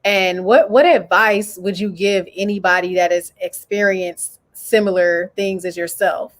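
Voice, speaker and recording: A woman's voice: mid-range voice, fairly even intonation, measured pace. A young woman hosting an interview over a video call from home, friendly and encouraging. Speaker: podcast host. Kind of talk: casual interview. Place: home room.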